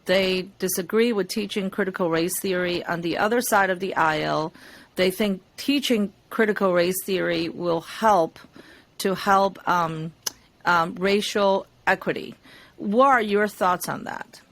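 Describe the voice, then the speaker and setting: A woman interviewing a candidate, asking measured questions with frequent fillers. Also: lowish voice, fairly bright tone.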